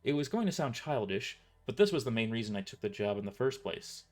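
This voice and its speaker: A man's voice: mid-range voice, big pitch swings, brisk. A man narrating a scripted first-person story in an informal way, stumbling and correcting himself as he reads. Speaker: author narrating own novel.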